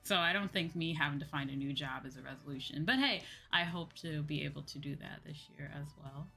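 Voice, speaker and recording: A woman's voice: low voice, brisk, gravelly, pushed and bright, breathy edge. A woman hosting a livestream as a VTuber, talking casually in a chatty, giggly way. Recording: background music behind voice. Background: music.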